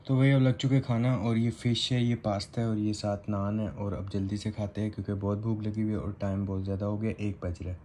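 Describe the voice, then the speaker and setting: A man's voice: lowish voice, little pitch movement, brisk, hardly any pauses, slight background noise. A man in his twenties with a Pakistani accent, chatting casually as a vlogger. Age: 20s. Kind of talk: casual vlog chat. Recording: loud music behind the voice. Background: loud music.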